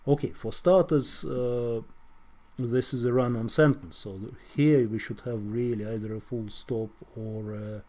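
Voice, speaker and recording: A man's voice: medium pitch, measured pace, darkish tone. A middle-aged man with a Russian accent giving a wry, conversational lecture on translation, often ending points with tag questions. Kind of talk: explanatory video lecture.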